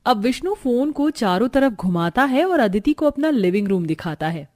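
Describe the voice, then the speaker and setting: A woman reads scripted narration for a cartoon family tale. Her voice is on the high side, with music playing behind it. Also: very animated, normal speed, a little mellow.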